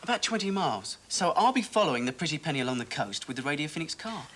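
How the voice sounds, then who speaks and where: A man's voice: on the high side, sing-song, quick pace, a bit bright, a little hiss or hum. An actor playing a jokey, teasing local radio DJ presenting his show. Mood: upbeat. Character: breezy male local radio DJ, quick-witted. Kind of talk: 1980 TV drama acting.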